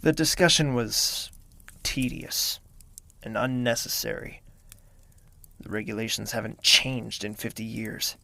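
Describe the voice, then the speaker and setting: Brooding young royal, played by a man: gravelly, inward, half-whispered, dry close mic. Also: fairly low pitch, a little slow, no room sound, full character voice. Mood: wistful. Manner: quiet and reflective, sometimes whispering. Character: brooding young male royal, lonely and introspective. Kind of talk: first-person audio drama narration.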